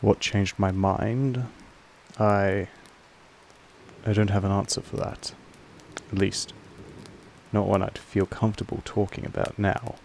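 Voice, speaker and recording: A man's voice: lowish voice, little pitch movement, slow, creaky, long pauses, a little mellow, a little hiss or hum. A man playing a possessive, aloof vampire master in an audio drama, speaking formally and condescendingly in an intimate monologue to the listener. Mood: reflective, guarded. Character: possessive, aloof male vampire master, patronizing yet fond. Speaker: voice actor in a roleplay audio drama.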